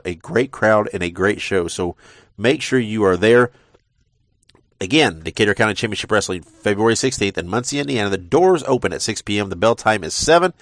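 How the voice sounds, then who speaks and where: A man's voice: on the low side, animated, a little fast, no room sound, clean studio sound. A man hosting a wrestling podcast in a rambling casual way, congested from a sinus infection. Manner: rambling, with frequent uh fillers and repeated words. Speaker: podcast host.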